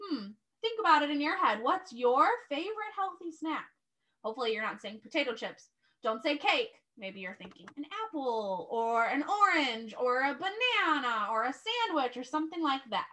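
A woman reads to first graders as their teacher, expressive and instructive. Her voice is high and bright, moving in lively swings as she narrates and asks questions. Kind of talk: children's read-aloud with questions to pupils.

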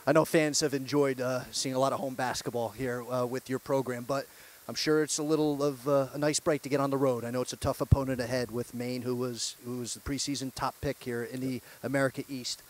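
A young man hosting a post-game show, asking upbeat, brisk questions on a handheld mic. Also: mid-range voice, level tone. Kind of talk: sports interview. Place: courtside set in an empty arena.

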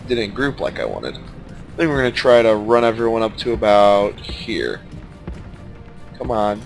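A man's voice: fairly low pitch, animated, a little slow, gravelly, soft background music. Nasal, stuffed-up man talking through a strategy game. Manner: laid-back, thinking aloud as he plays. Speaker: let's play commentator. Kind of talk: casual gameplay commentary.

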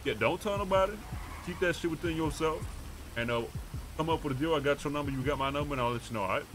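A man's voice: a little high, fast, noisy background. A man roleplaying a pushy, streetwise, profane character in a game, talking in slang. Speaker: roleplay gamer streaming.

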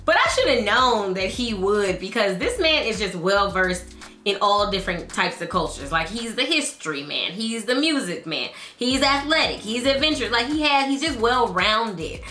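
Bubbly bright-voiced young woman vlogger. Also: mid-range voice, moderate pace, reverberant, faint music behind. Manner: bubbly, laughs often, excitable. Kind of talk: casual confessional vlog. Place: living room at home.

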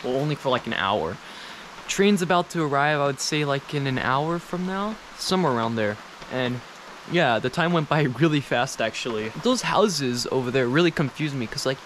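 A man's voice: medium pitch, lively intonation, moderate pace, a bit bright, a little hiss or hum. A young man chatting casually to camera as a travel vlogger, rambling and self-deprecating. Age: young adult. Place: outdoors at a rural mountain train station in rain.

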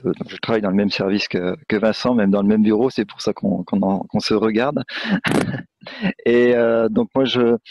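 A young man presenting in a webinar from his office, halting and full of fillers and restarts. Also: fairly low pitch, dry recording. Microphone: video call. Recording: muffled video call audio.

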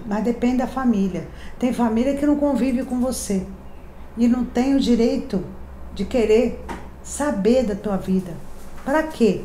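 A woman's voice: medium pitch, mellow. A Brazilian woman in her thirties, a tarot reader talking to camera in a chatty, affectionate, confiding way, with emphatic repetitions. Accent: Rio de Janeiro Portuguese. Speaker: tarot reader and channel host. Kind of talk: daily tarot reading to camera. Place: decorated home room, indoors. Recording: echoey room, faint background hiss.

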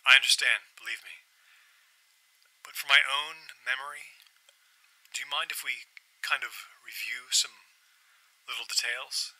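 An actor playing a polite, persistent, obsessed man in an audio drama, speaking in a hesitant, apologetic and halting way. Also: medium pitch, sharp tone, rough recording. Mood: resigned, pressing. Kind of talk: naturalistic audio drama acting.

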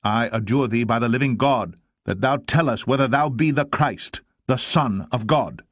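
A man voicing an indignant, commanding high priest in a solemn, emphatic declamatory manner. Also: moderate pace, no room sound, clean studio sound, close to an everyday voice. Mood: stern, demanding.

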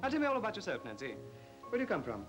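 Breezy, plummy, quick-talking captain. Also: male voice, high-pitched, huge pitch swings. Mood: flirtatious. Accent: upper-class English. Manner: jaunty, suave, plummy. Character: breezy, flirtatious, hard-drinking ex-army captain, charming talker. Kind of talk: 1940s British film acting. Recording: old film soundtrack, music behind.

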